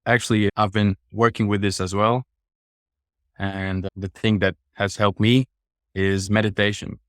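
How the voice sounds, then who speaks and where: A man from Latvia with a Latvian accent chatting casually as a guest, halting and repeating short phrases. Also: on the low side, little pitch movement, a bit bright, no echo, studio quality. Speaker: podcast guest. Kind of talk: casual chat.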